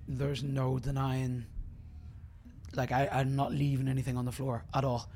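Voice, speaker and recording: A man and coach chatting on a podcast, hoarse from losing his voice, laughing at himself. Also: mid-range voice, monotone, normal speed, darkish tone, a little hiss or hum. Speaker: coach and co-host. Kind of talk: casual podcast chat.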